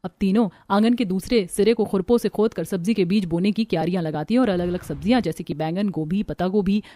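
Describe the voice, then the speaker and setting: A woman's voice: mid-range voice, quick pace, dark tone. A woman narrating a scripted cartoon story.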